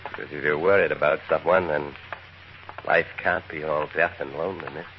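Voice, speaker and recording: A man's voice: a bass voice, fairly even intonation, normal speed, muffled recording, slight background noise. A man playing a wry, anxious writer on the run who narrates his own story in a 1950s radio drama. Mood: wistful. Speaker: narrator and lead. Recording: old radio broadcast with music.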